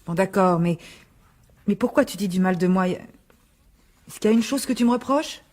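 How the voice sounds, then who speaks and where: A woman's voice: mid-range voice, moderate pace, darkish tone, natural voice. An actress playing a defensive, indignant mother in a French radio drama, incredulous and dismissive in her retorts. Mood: questioning.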